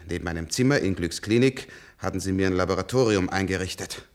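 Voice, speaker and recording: An actor playing a weary, bitter old professor in a radio play, halting and emphatic as he speaks. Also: sits low, natural voice. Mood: bitter recollection. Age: older. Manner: halting, repeating words, emphatic. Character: weary, bitter old professor, captive of medicine, longing for death. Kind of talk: German radio play acting.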